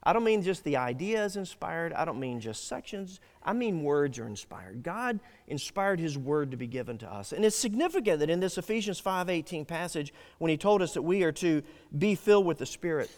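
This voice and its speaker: A male pastor preaches in an earnest, emphatic way, his voice sitting high and swinging widely up and down. He often stops and restarts a phrase. The sound carries a long reverb, as in a big church sanctuary. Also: big pitch swings, fairly quick. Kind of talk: sermon.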